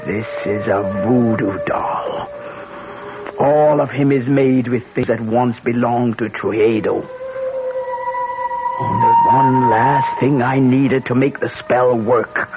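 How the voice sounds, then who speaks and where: An aging voodoo practitioner, solemn and fierce, in an old radio play. The delivery is slow, dramatic and incantatory. The recording is muffled, with heavy noise. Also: mid-range voice.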